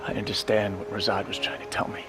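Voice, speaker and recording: A man acting as a gravely wounded, resolute special forces commander in a video game cutscene, weary and solemn as he gives halting orders. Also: fairly low pitch, level tone, lightly acted. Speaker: military commander. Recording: loud music behind the voice.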